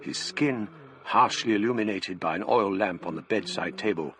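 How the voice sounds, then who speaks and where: An actor playing a scholarly Englishman recounting a gothic tale from his past, in measured, formal radio narration with an English accent. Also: fairly low pitch, fairly bright tone. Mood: brooding, measured. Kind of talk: first-person literary radio narration.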